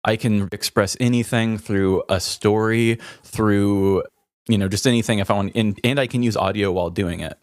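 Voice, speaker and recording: A man with a slightly low-pitched voice talks as a podcast co-host. He is candid and introspective, spinning out long reflective thoughts in casual language. The sound is close and dry. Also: steady tone, moderate pace, no room sound.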